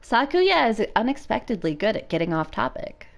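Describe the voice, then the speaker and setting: A woman's voice: fairly high pitch, very animated, normal speed, vocal fry. A woman giving a spontaneous dramatic reading of a visual novel as a Let's Play narrator.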